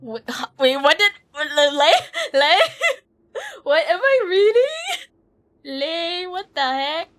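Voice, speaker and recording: A woman livestreaming a game with casual, expressive, giggly chatter, often repeating herself. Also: very high-pitched, very slow. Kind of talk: casual chatty stream commentary. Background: faint game music.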